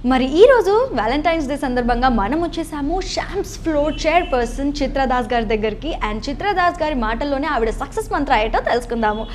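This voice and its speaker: A woman TV host, presenting rapidly and interviewing a guest with frequent short okays. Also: high voice, animated, over music.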